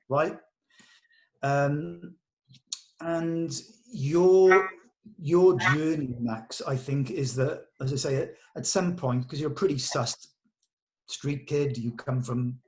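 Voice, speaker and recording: A man's voice: mid-range voice, darkish tone. A British man speaking informally and earnestly, like a director encouraging actors at a table read, with blunt colloquial turns. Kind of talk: informal character briefing to actors.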